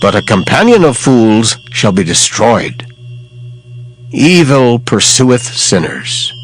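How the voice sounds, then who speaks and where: A man giving a dramatized scripture reading in a measured, declamatory way. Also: mid-range voice, big pitch swings, rough recording. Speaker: scripture reader. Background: background music.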